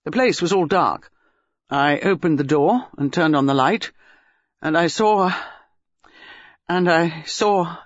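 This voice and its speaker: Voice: medium pitch, expressive, takes it slowly, pauses often, no room sound, dull audio. A reader voicing a shaken young husband, sullen and fierce, with an agitated, blurting delivery.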